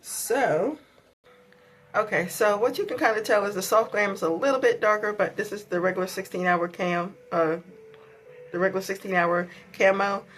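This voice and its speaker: A woman's voice: medium pitch, normal speed, fairly bright tone, a little hiss or hum. Stuffy-voiced woman with a sore throat. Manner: chatty, many ums and likes. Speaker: beauty vlogger. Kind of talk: casual makeup tutorial.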